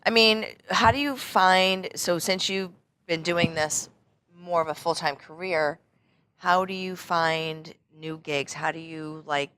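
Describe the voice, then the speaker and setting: A woman's voice: lowish voice, slow pace, sharp tone, a little hiss or hum. A woman hosting a casual interview, encouraging her guest with frequent agreement. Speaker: interview host, salon owner.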